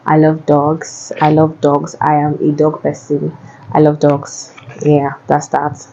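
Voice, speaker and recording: A woman's voice: low voice, completely flat delivery, slow, vocal fry, dark tone, highs cut off, a little hiss or hum. A young Nigerian woman with a Nigerian accent, answering viewer questions in a casual, chatty way and often repeating herself. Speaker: vlogger.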